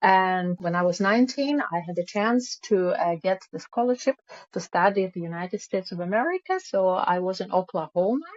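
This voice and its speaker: A woman, speaking as an interview guest in a halting way with many fillers. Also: medium pitch, lively intonation, dry recording. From Russia. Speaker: guest, music founder. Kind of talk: casual interview. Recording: dull lo-fi call audio.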